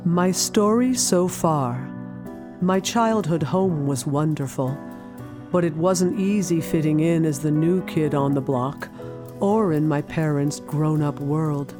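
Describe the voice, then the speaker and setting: A woman's voice: low voice, over music. An actress playing a reflective, lonely woman narrating memories of her childhood in an audio drama. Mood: wistful. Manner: wistful, deliberate. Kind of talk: scripted first-person dramatic narration.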